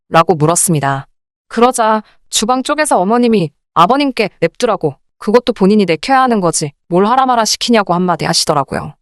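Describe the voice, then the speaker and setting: A woman's voice: mid-range voice, smooth, no room sound, studio quality. A woman reading a scripted first-person story as a radio drama narrator.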